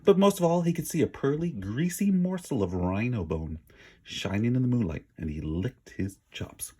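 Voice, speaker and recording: Expressive, husky-voiced man reading a storybook. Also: medium pitch, moderate pace. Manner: dramatic, emphatic word stress. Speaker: storybook reader for children. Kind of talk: expressive read-aloud narration with casual asides.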